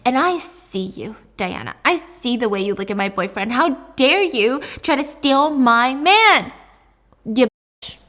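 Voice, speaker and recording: A woman's voice: medium pitch, animated, normal speed, few pauses, a bit bright. A woman voicing a mean, foul-mouthed mother with an explosive, taunting manner in a dramatic story retelling. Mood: furious. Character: ranting, cruel, money-hungry alcoholic mother, volatile, mocking.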